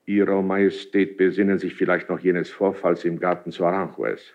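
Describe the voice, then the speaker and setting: An actor playing an obsequious, calculating nobleman in classical German verse radio drama, deep and husky, courtly in manner, on a muffled recording. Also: little pitch movement, normal speed. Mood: insinuating. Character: obsequious, calculating male nobleman confiding in his monarch.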